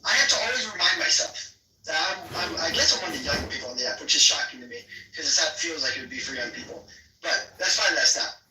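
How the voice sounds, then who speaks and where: A man with a nasal, squeaky voice in a live audio chat room, talking haltingly with many fillers and repeats as he mocks another speaker over a phone mic. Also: wildly swooping pitch, background noise. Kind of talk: mocking argument. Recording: echoey, distant room sound.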